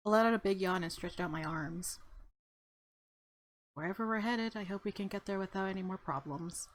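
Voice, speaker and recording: A woman's voice: medium pitch, normal speed, slight background noise. A woman narrating a visual novel aloud in the first person, expressively, as a let's player.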